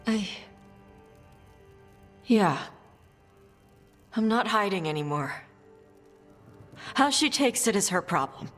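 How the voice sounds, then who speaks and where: An actress playing a blunt, defensive non-binary young adult adventurer in a video game, talking in short plain phrases. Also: female voice, mid-range voice, lively intonation, takes it slowly, pauses often, fairly bright tone, faint music behind. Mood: subdued. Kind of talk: video game dialogue acting.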